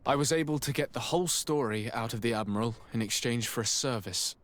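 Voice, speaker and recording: A male actor as a loyal young diplomat in a fantasy game. He speaks in a mid-range voice with measured, formal phrasing. He comes across as earnest, resolute and protective. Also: medium pitch, fairly bright tone, natural voice. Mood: grave recounting. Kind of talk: dramatic video game acting.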